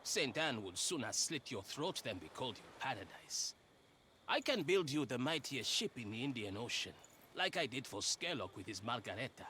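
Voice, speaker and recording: A gruff pirate shipwright for a video game, proud and scornful, swinging dramatically in pitch. The delivery is sharp and forceful, taunting a would-be captain over a faintly noisy background of ambience. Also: male voice, sing-song, normal speed. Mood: mocking, proud. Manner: theatrical, taunting.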